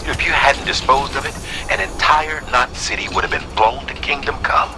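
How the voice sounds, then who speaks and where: A man playing a composed, appreciative official in a video game, speaking in a measured, formal way. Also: medium pitch, animated, close to an everyday voice. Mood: grateful, measured. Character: composed, appreciative male official praising a courier. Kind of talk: video game cutscene acting. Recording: clear mechanical background noise.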